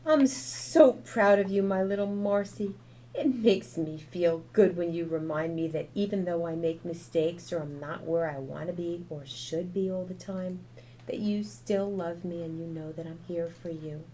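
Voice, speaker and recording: A woman voicing a gentle, loving young mother who speaks softly and tenderly to her child. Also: lowish voice, measured pace, slight background noise, lightly acted, rough recording. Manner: soft, apologetic and tender.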